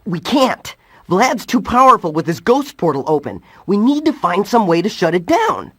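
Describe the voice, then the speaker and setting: A man's voice: sits high, huge pitch swings, moderate pace, breathy edge, no echo, studio quality, exaggerated voice. An actor voicing a determined, earnest teenage boy hero in a cartoon video game.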